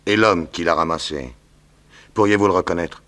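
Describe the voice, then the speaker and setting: An actor playing a calm, courteous detective who questions people methodically in a 1960s French radio drama. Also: male voice, low-pitched. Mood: courteous, businesslike. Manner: measured, courteous, probing.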